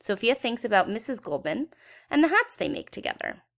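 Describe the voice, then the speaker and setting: A woman's voice: fairly high pitch, sing-song, fairly quick, creaky, a bit bright. A woman reading a children's story aloud expressively, with dramatic emphasis for young listeners. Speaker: children's book read-aloud educator.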